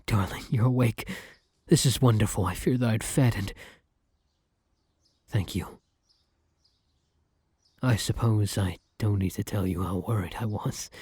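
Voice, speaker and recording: Man acting as vampire, predatory yet devoted, theatrical ASMR roleplay, breathy, dark voice, close dry sound. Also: on the low side, no room sound, full character voice. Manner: theatrical, drawn-out endearments, breathy whispers. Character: hungry male vampire predator, taunting yet devoted lover.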